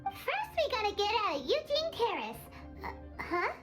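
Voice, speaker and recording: A woman voicing a tiny, excitable, childlike fairy companion in a video game, chirpy and exclamatory. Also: extremely high pitch, measured pace, over music, full character voice. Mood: eager, curious. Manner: chirpy, exclamatory, speaks of self in third person. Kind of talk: animated video game voice acting.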